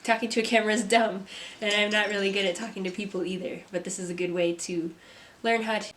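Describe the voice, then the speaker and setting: A young woman, a mother and artist, talking to camera from a script in a soft, reflective vlog; she sounds stuffy and congested. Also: mid-range voice, fairly even intonation, quick pace, slight background noise. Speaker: vlogger, artist. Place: home. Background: kids playing.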